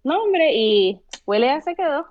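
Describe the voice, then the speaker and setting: A young adult woman from Puerto Rico with a Puerto Rican accent, a podcast guest telling stories in relaxed chat, mixing Spanish and English. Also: high-pitched, a little slow, muffled recording, a crowd in the background. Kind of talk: casual interview chat.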